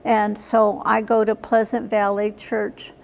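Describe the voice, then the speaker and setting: Older woman, slow and even-toned. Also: mid-range voice, vocal fry, slight background noise. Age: older, senior. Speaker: interview guest, senior center member. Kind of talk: plain conversational answers.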